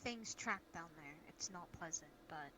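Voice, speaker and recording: A woman's voice: low-pitched, expressive, a little slow. A woman speaking in improvised roleplay dialogue, recorded over online voice chat. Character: protective female pack leader in a fantasy world. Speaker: roleplay participant. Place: virtual reality voice chat. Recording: noisy online voice chat, background ambience.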